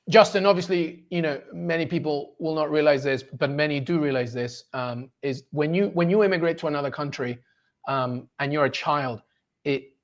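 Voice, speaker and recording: A man in his forties from Peru, speaking as an interview guest. His voice sits a touch higher than most men's. He tells his story in a lively, enthusiastic way and laughs easily. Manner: animated and enthusiastic, quick to laugh. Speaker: interview guest, sports journalist. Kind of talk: casual storytelling in a conversation.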